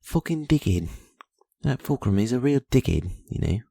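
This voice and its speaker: A man's voice: mid-range voice, big pitch swings, normal speed, creaky, warm tone, no room sound, clean studio sound, pitched above the performer's own voice. A man voicing a mocking adult male New Zealand bloke in a put-on New Zealand accent, calling someone names.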